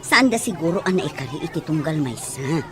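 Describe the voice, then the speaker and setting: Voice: medium pitch, lively intonation, brisk, crowd noise, close to an everyday voice. An actor playing a forgiving, consoling middle-aged parent in an audio drama. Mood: consoling, resigned. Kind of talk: Filipino audio drama dialogue in Ilocano.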